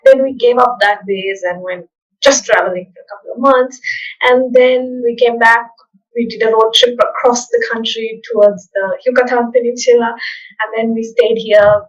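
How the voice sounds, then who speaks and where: Soft-spoken Sri woman on a dull line. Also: a little high, fairly even intonation, normal speed. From Sri Lanka. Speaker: podcast guest. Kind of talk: casual interview chat.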